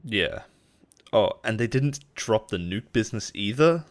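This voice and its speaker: Man playing a low-voiced possessive CEO boss. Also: animated, measured pace. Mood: agitated ranting. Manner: soft-spoken coaxing, chuckles and sighs, quietly menacing. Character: possessive yandere male CEO boss, smooth and menacing. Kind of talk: intimate roleplay audio drama acting.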